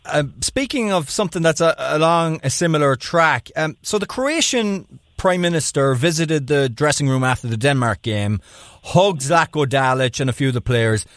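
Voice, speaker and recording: A man hosting from a studio, asking measured, thoughtful interview questions. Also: on the high side, expressive, fairly bright tone, no room sound. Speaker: studio host.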